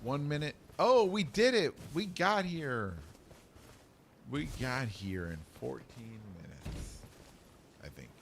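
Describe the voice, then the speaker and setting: A man's voice: mid-range voice, animated, slow pace. A middle-aged man giving casual, folksy, joking commentary as he streams a game, rambling as he goes. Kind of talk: casual live gaming commentary. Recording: clearly noisy background.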